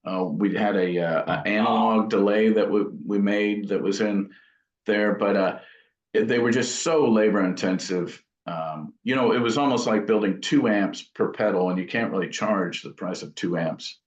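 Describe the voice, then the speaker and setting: Low-voiced man in a roomy, dull recording. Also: moderate pace. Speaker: guitar amp builder being interviewed. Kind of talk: casual interview answers.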